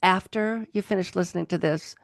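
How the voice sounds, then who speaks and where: A woman's voice: lowish voice, a little fast, vocal fry, no echo, clean studio sound. A woman hosting a podcast, asking questions in a casual, conversational interview style. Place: remote video call.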